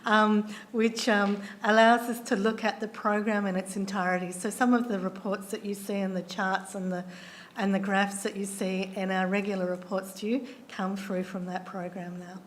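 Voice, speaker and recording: Hoarse woman, flat steady delivery. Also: mid-range voice, a little hiss or hum. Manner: measured, with frequent ums and restarts. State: losing her voice. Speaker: council officer answering councillors. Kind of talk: formal meeting answers.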